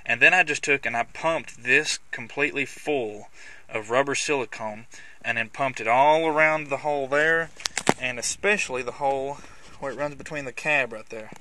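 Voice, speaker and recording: A man giving a casual, hands-on walkthrough as a video host, with somewhat halting, hesitant phrasing. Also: medium pitch. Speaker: host of a how-to video on CB radio installation. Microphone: handheld camera's built-in microphone. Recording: clicky handheld camera audio, background noise.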